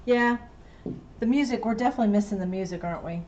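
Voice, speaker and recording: A woman's voice: medium pitch, fast talker, a little mellow, dull audio, slight background noise. A middle-aged woman teaching a painting class, chatting and laughing as she works in an indoor studio. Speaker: painting instructor. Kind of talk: casual chat while painting live.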